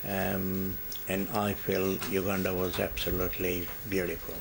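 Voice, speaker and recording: Older guy born in india, low flat voice, slow halting answers, lots of ums. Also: vocal fry, background noise. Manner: hesitant, halting, many fillers and repeats. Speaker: interviewee. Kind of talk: oral history interview.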